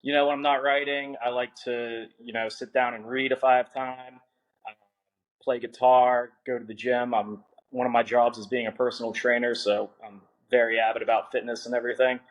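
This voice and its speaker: Young guy author on a podcast, relaxed and jokey, cusses a lot, mid-pitched voice. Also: moderate pace, muffled recording. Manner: laid-back, joking, swears freely. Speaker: author guest. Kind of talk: casual podcast interview.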